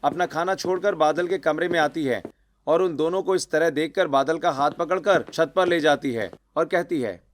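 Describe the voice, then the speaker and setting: A man's voice: fairly high pitch, normal speed, a little hiss or hum. A man narrating a scripted cartoon story.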